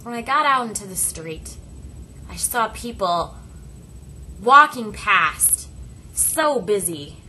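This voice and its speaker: A woman with a bright, forward voice tells a personal story in the first person. She speaks at a middle pitch, phrase by phrase, leaving deliberate pauses for drama. A faint noise sits behind her voice. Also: lively intonation, low-quality recording. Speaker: interpreter voicing a signed personal story.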